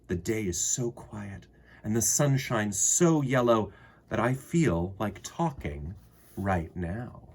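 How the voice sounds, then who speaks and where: A man voicing the wind as a friendly, mysterious spirit, soft, breathy and gentle, in a storybook reading. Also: fairly low pitch, lively intonation, slow, heavily acted. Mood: gentle, assured. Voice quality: breathy, hushed. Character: the wind, a softly whispering, friendly, free-willed spirit.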